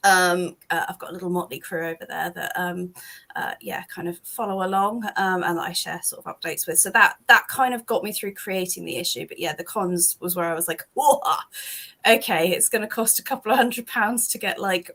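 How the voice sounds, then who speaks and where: A British woman talking casually about her comic on a call, rambling with lots of ums and restarts, a bit hoarse from losing her voice. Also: on the low side, normal speed, bright, forceful tone. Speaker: comic creator guest. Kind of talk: casual chat on a video call.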